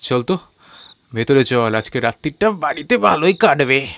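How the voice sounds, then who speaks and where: Male actor for scoffing young ringleader, ghost-story audio play; medium-pitched voice, cocky street-casual delivery. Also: lively intonation, moderate pace, vocal fry, a bit bright, no room sound. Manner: mocking, colloquial. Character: young man, cocky, scoffing skeptic, leader of friends. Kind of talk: audio drama dialogue in a Bengali ghost story.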